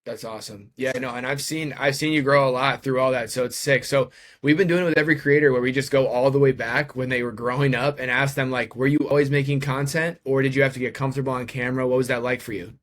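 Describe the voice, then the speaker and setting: A man interviewing someone in a relaxed, conversational way. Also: medium pitch, fast talker. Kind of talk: casual interview chat.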